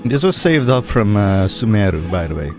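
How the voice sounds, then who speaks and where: A man's voice: on the low side, sing-song, moderate pace, music in the background. A man doing casual video game playthrough commentary, chatting and reading dialogue aloud as he plays. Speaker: game streamer.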